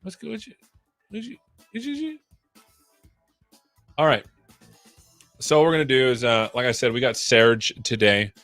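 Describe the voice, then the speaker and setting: A man's voice: medium pitch, expressive, moderate pace, pauses often, fairly bright tone, no room sound, soft background music. A man hosting a casual livestream, rambling playfully with jokey asides. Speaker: live show host. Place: own room at home.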